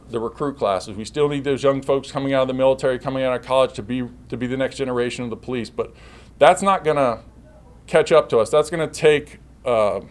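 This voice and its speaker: Man, police chief, public slide presentation, halting delivery, repeated words and self-corrections. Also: mid-range voice.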